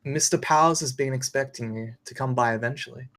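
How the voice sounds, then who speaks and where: A woman plays an office receptionist who loves dogs. She speaks in a gushing, expressive way, as read aloud from a film script. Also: extremely low pitch, a little fast. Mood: businesslike.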